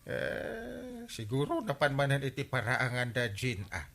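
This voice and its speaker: An actor playing a blustery comic village captain in an Ilocano radio drama, with an Ilocano accent and an incredulous, exclamatory manner. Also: male voice, medium pitch, wildly swooping pitch, measured pace, creaky, a bit bright, heavily acted. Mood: curious.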